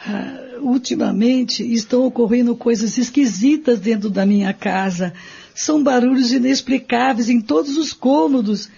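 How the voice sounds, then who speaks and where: A woman playing a weary, anxious married woman in a radio drama, with a husky, creaky voice. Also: a little high, normal speed, mellow. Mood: weary, troubled. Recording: dull lo-fi radio play recording.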